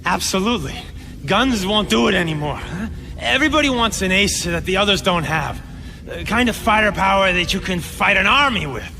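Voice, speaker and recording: A high, bright, jittery crime boss. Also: male voice, expressive, a little fast, creaky, big-room sound, full character voice. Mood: grim warning. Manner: blustering, stammering when pressed. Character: cornered, jittery male villain, tough-talking gang boss. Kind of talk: video game cutscene acting.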